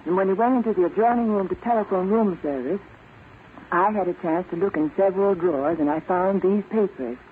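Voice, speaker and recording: A woman's voice: lowish voice, fairly quick, phone-quality sound, natural voice. An actress playing an earnest, anxious young woman working as an amateur spy in an old-time radio drama, polite in manner. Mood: anxious, urgent. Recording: scratchy, band-limited old radio recording.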